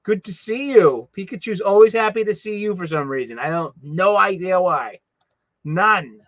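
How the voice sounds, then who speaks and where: A middle-aged man with a thick Boston accent hosts a casual livestream chat. His voice sits a little high, and he rambles and mumbles, restarting his sentences. The sound is dry, with no room echo. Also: animated, normal speed, a bit bright. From Boston, USA. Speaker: livestream host.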